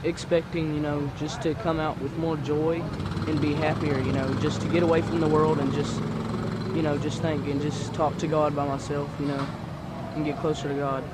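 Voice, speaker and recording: Flat-toned man from Texas. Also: on the high side, normal speed, very noisy. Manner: soft-spoken, with frequent "you know". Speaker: camper interviewee.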